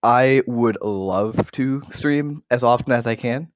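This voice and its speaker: A man's voice: medium pitch, slow, no echo, muffled recording. A man in his twenties with an American accent, chatting casually as a gaming podcast co-host through a cheap gaming microphone. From United States. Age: 20s. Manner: occasional stutter. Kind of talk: casual chat. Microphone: low-quality gaming microphone.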